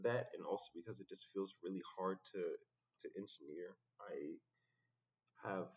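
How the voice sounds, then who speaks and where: A man talking in a casual, reflective solo vlog, halting and often pausing mid-sentence. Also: sits low, slightly rough. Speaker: rapper vlogging his progress. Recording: dull, muffled lo-fi sound.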